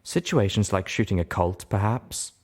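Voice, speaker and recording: A man voicing a refined, haughty, sharp-tongued lady unicorn with prim, formal phrasing in a storybook reading. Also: lowish voice, lively intonation, normal speed. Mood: indignant.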